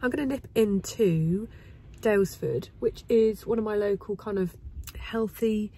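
A woman in her thirties with a cold, sounding croaky and bunged up, chatting casually to her phone camera in a parked car and then in a farm shop. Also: medium pitch, unhurried, dark tone. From England. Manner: chatty, bubbly, rambling asides. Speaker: vlogger. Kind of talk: casual vlog chat. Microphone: phone held at arm's length.

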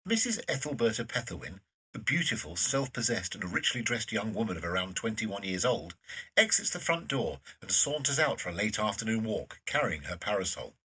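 Low-voiced male drama narrator. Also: sing-song, fairly quick, bright, forceful tone, slightly rough, recorded from a distance, low-quality recording. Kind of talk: scripted audio drama narration.

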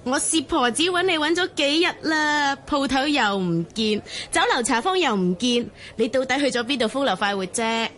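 A woman with a Hong Kong Cantonese accent playing a gossipy, flattering matchmaker in a radio drama, effusive as a saleswoman. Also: normal speed, hardly any pauses. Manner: effusive, sales-pitch sing-song. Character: gossipy, wheedling female matchmaker, talkative flatterer.

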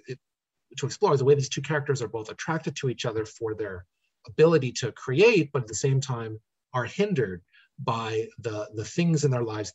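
A middle-aged American man with a slightly husky voice, answering interview questions in a thoughtful, halting, conversational way. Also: medium pitch, poor audio. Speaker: playwright being interviewed. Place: living room at home, indoors. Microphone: computer mic on a video call.

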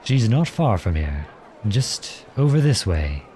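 A man playing a charming, gentle, teasing outlawed prince in an ASMR roleplay, courtly, playful and tender in his way of talking. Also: lowish voice, sing-song, slow, slight background noise. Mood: warm, attentive. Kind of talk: ASMR roleplay acting addressed to the listener.

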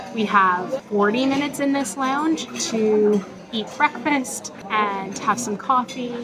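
Hoarse thirtysomething woman vlogging at arm's length, dishes clinking and people chatting behind. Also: medium pitch. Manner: chatty, explaining step by step. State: slightly hoarse from a cold. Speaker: travel vlogger. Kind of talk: casual travel vlog. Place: airport lounge. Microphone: phone at arm's length.

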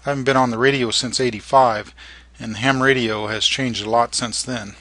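A middle-aged or older man narrating an informal tutorial in halting, short phrases. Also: mid-range voice, steady tone, fairly bright tone, no echo. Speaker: amateur radio operator and narrator.

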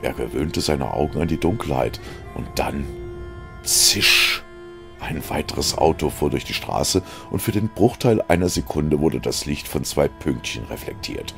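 A man's voice: very deep voice, lively intonation, a little slow, vocal fry, a bit bright, faint music behind. A man narrating a scripted audio story in a dramatic reading style.

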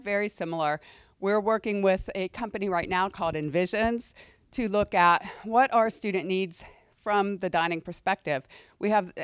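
A middle-aged woman talks through a presentation in a lecture hall, her voice hoarse from a cold yet smooth underneath. She sits on the low side, dry and close on a handheld mic, chatty with ums and restarts. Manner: conversational, with fillers and restarts. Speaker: university administrator presenting. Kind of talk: presentation to student senate. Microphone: handheld microphone.

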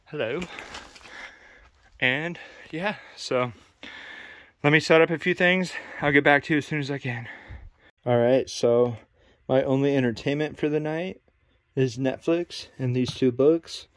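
Slow-talking nervous young man vlogging. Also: medium pitch, steady tone, fairly bright tone, no room sound. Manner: nervous, self-deprecating, talks slowly. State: cold and sleepy. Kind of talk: casual vlog to camera. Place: abandoned cabin at night, cold.

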